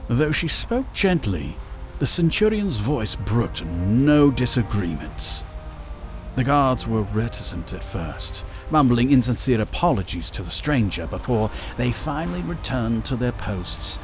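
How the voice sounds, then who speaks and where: A man's voice: mid-range voice, sing-song, unhurried. A man narrating a scripted fantasy story as a dramatic narrator. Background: music.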